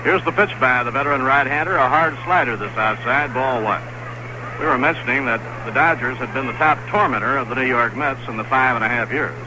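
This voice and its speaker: 1960s radio baseball announcer. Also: male voice, mid-range voice, fairly quick. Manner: steady, fact-filled calling of the game. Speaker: play-by-play announcer. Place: stadium broadcast booth. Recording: noisy 1960s radio broadcast.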